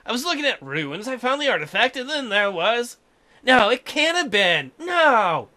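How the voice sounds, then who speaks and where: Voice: medium pitch, sing-song, moderate pace, sharp tone, pitched above the performer's own voice. A man voicing a wary, defiant young woman archaeologist, the heroine of a visual novel he is playing. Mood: startled. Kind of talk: visual novel character voiced by a let's player.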